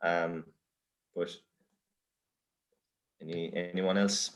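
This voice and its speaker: A man with an Irish accent presents an informal workshop in a lowish voice. His delivery is halting and self-deprecating, as he works his way through a casual explanation. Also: flat delivery.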